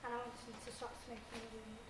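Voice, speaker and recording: A woman's voice: a little high, completely flat delivery, fairly quick, roomy sound, distant mic, noisy background. An eighteen-year-old woman from the English Midlands, a young mother speaking in a hesitant, plain way. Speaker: young mother in a short film.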